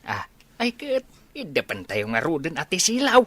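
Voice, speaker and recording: A man playing a lively middle-aged village father in a radio drama, with an Ilocano Filipino accent. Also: a little high, moderate pace, a little hiss or hum, full character voice. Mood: chatty. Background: music.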